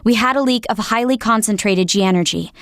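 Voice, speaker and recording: A woman's voice: medium pitch, fairly quick, fairly bright tone, no echo, studio quality, natural voice. A woman playing an earnest, dutiful military operator in a video game, speaking formally. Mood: grave, explaining. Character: earnest female military operator briefing her commander. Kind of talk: scripted video game voice acting.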